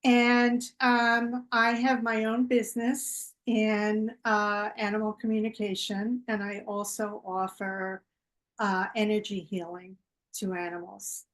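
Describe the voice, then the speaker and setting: A woman hosting an online fundraiser, introducing her group slowly with many pauses and fillers. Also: medium pitch, level tone, fairly bright tone. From United States. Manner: slow and halting, with many fillers. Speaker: team captain and event host. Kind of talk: casual introduction.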